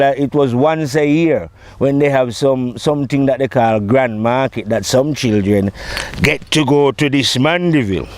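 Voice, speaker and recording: A man talks in a smooth, clear voice that sits a little high. He is middle-aged or older and rambles casually through his memories, often checking that the listener follows. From Jamaica. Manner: rambling, conversational, often checking in with "you know". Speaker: storyteller recounting his own life. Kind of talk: casual spoken reminiscence.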